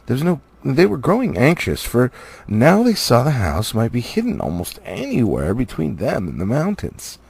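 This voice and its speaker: A man reading a storybook aloud in an expressive narrative style, as an amateur audiobook reader. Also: medium pitch, moderate pace, vocal fry, husky.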